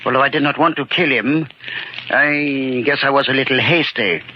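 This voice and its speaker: A man's voice: mid-range voice, moderate pace, natural voice. Suave, menacing radio-drama killer played by a man. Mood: cold threat. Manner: suave, mock-courteous, sinister. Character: smug, cold-blooded male killer, politely menacing. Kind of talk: 1940s radio mystery acting. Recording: muffled, noisy 1940s radio recording.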